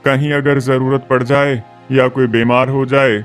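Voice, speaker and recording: A man's voice: medium pitch, level tone, measured pace, soft background music, lightly acted. A man voicing a kindly, weary elderly villager in a cartoon story, resigned and fatherly.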